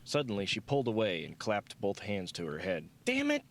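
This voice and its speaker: Low-voiced man narrating an audiobook. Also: animated, brisk, a little hiss or hum. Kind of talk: scripted fiction narration.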